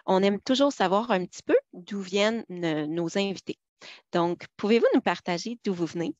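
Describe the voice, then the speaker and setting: A woman from hosts a podcast interview. She speaks at an ordinary pitch and fills gaps with "euh" as she asks friendly questions. The recording sounds dry and a little lo-fi. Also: normal speed, a little mellow, no echo. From French Canada. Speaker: podcast host and learning specialist. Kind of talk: conversational interview.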